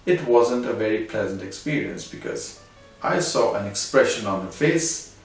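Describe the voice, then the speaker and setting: A man's voice: on the low side, normal speed. Indian man telling a story in an echoey room. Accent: Indian English. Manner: animated, conversational, moral at the end. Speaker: storyteller host. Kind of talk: personal anecdote storytelling. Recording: very echoey room, distant mic, faint music.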